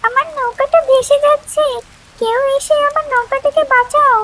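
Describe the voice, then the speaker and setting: An actor voicing an excitable, playful young village child in a children's cartoon story. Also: extremely high pitch, moderate pace, slight background noise, poor audio. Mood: pleading.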